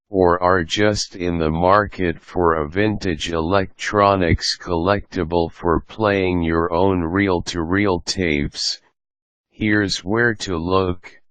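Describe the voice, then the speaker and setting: Slow, deep-voiced man narrating, dry sound. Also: fairly bright tone, no room sound. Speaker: narrator of a how-to guide. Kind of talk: scripted instructional narration.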